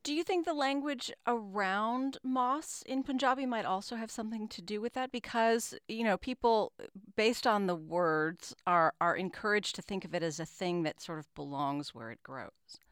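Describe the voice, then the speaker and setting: A woman's voice: medium pitch, normal speed, a bit bright, smooth, no room sound, studio quality. A woman interviewer who asks engaged, appreciative questions.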